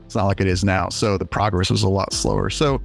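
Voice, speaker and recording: Guest: forties man, low voice, rambly and self-mocking, faint music under. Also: fairly even intonation, brisk, gravelly. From USA. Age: 40s. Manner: rambling, self-deprecating, laughs often. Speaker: indie game developer guest. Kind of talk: casual interview.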